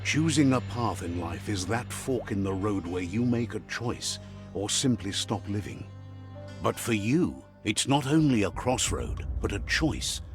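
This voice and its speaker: An actor playing a wise storyteller who narrates a video game fable in a measured, dramatic way. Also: male voice, fairly low pitch.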